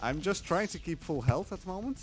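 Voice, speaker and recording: A man's voice: high voice, brisk, soft background music. A man commentating live on his own speedrun, explaining as he plays with many fillers. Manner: relaxed, hesitant with many fillers. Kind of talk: live commentary while playing.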